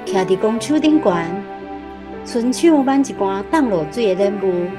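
Actress as a reminiscing Taiwanese mother, animated, music behind. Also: medium pitch, unhurried, natural voice. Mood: wistful, dreamy. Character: mother fondly recalling her poor country childhood. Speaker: radio drama actor. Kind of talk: radio drama storytelling in dialogue.

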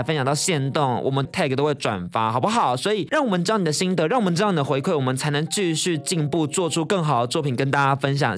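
A young Taiwanese man talks as a podcast host. His voice is on the high side for a man, with a Taiwanese Mandarin accent and a chatty, expressive, playful delivery. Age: young adult. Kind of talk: casual podcast sign-off.

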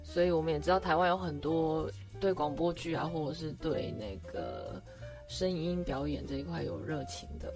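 A young woman, co-hosting a relaxed chat, often chiming in to agree and invite listeners. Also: sits low, moderate pace, vocal fry. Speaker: radio drama co-host and voice actor. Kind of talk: casual chat. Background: music.

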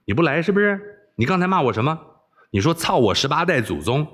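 A man's voice: a little high, very animated, fast talker, a bit bright. A man voicing a spoiled, wilful young princess, coaxing, bossy and playful, in audiobook storytelling. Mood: threatening, petulant. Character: spoiled, wilful young princess who relishes being scolded.

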